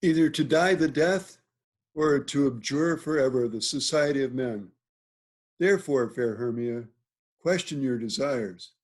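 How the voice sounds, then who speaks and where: A man playing an authoritative duke, reading Shakespearean verse in a formal, deliberate manner. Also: medium pitch, a little mellow, lightly acted. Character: authoritative, measured duke counseling a young maid. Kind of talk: Shakespearean verse table read. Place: remote video call reading. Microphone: video call.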